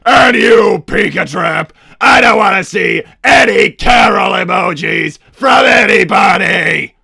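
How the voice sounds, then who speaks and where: A man voicing a pompous, hot-tempered cartoon villain doctor and strict, doting papa, raspy and blustering, chatting in character on a livestream. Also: high-pitched, lively intonation, slow, pushed and bright, no room sound, raised voice, studio quality, a faint crowd, heavily acted. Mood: indignant, firm. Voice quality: gravelly, raspy. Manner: blustering, bombastic. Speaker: livestream host.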